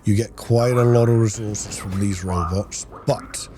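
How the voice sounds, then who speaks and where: A man's voice: on the low side, fairly even intonation, unhurried, a crowd in the background. A man giving a casual gameplay walkthrough, explaining things in practical asides to the viewer. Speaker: gaming video host.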